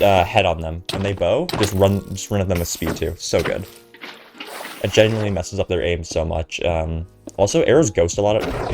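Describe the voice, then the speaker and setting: Young man, stuffy and congested, deep low voice, relaxed rambling game commentary with plenty of ums, background music. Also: creaky. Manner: casual, filler-heavy, chatty. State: stuffy nose from a cold. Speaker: gaming commentator. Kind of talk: recorded gameplay voiceover commentary.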